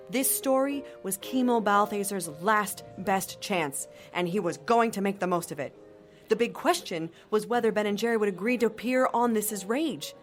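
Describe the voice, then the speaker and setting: A woman's voice: mid-range voice, close to an everyday voice. Woman as knowing storyteller over music. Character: wry female storyteller recounting events she lived through. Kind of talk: scripted audio drama narration. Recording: narration over a music bed.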